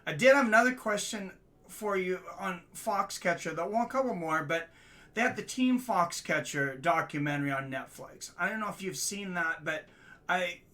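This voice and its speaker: Bright-voiced chatty male host. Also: high voice, expressive, moderate pace. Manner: chatty, hesitant, agreeable. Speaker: interview host. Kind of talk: casual interview questions.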